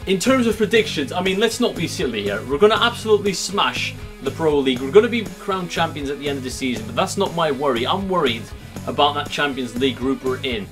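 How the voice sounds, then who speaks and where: A young man from Belgium with a Belgian Dutch accent, commentating a football game simulation in an upbeat, chatty way. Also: on the high side. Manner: upbeat, chatty, swings between excitement and gloom. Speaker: gaming YouTuber. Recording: music playing underneath.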